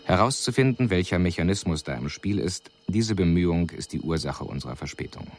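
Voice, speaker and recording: An actor playing an alien envoy in a radio drama, delivering a formal report in a measured, precise, clinical manner. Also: male voice, low voice, expressive. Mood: detached, formal. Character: male alien envoy reporting coolly on a doomed city. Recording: radio drama recording with audible background noise.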